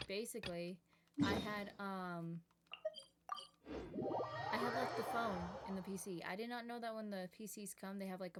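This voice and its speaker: A woman's voice: medium pitch, very noisy. A woman game streamer chatting casually to viewers in a rambling, self-deprecating way. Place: indoors at a home gaming setup. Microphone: streaming microphone.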